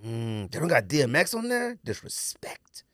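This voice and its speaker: A man's voice: medium pitch, sing-song, moderate pace, fairly bright tone, no room sound, studio quality. A man co-hosting a podcast in casual banter, slangy and playful with exclamations. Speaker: podcast co-host.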